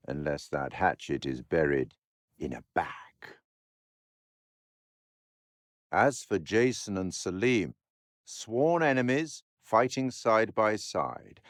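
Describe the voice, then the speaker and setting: An actor playing a refined, sardonic and ominous male curator of macabre tales, narrating a horror game in a measured, dramatic, dryly mocking way. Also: fairly low pitch, dry recording, clean studio sound. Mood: ominous, taunting. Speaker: narrator and host figure of a horror video game. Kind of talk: theatrical scripted game narration.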